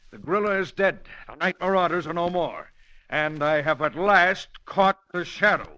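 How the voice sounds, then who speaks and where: A gentlemanly but blustery police commissioner in vintage radio style. His voice is fairly high and bright, close and dry, with formal, emphatic, self-satisfied delivery. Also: expressive, normal speed, dry recording. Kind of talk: old-time radio crime drama acting.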